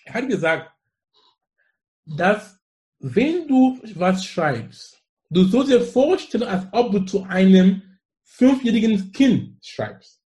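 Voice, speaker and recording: A man's voice: high voice, lively intonation, slow, dark tone. Effusive man with a Cameroonian accent. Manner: effusive, emphatic, fond of repeating phrases. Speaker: guest. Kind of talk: conversational interview.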